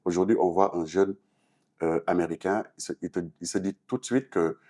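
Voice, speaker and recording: Very deep-voiced Senegalese man. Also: fairly even intonation, a little fast, dark tone. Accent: Senegalese French. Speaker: talk show guest, artist and activist. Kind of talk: conversational interview answers.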